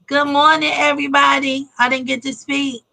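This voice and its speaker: Bright, high-voiced woman on talk radio. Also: flat delivery, normal speed, gravelly. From Dallas, Texas. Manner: chatty, emphatic, interjecting. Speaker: radio show guest. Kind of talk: casual radio chat.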